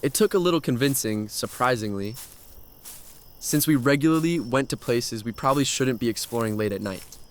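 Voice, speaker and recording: A man's voice: mid-range voice, expressive, normal speed, natural voice. A man playing an adventurous, thrill-seeking young man who tells his story in a horror audio drama. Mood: reflective, ominous.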